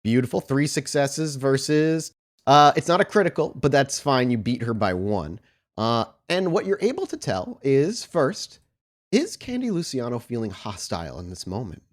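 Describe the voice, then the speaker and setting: A man acting as game master, narrating a tabletop horror roleplaying game with descriptive, deliberate storytelling. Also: medium pitch, very animated.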